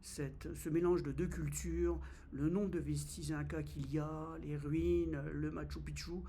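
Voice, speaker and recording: A man in his fifties from France talks to camera in a relaxed vlog. His voice sits a little high, and he often pauses, fills gaps and restarts his sentences. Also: slight background noise. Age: 50s. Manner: hesitant, many fillers and restarts. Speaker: travel vlogger. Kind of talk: casual first-person vlog.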